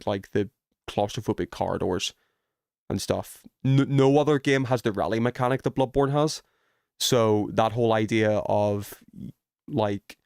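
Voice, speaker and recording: A man's voice: lowish voice, vocal fry, darkish tone, dry recording, studio quality. A man chatting casually as a game streamer, rambling in a self-effacing, sweary way. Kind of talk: casual chat while streaming gameplay.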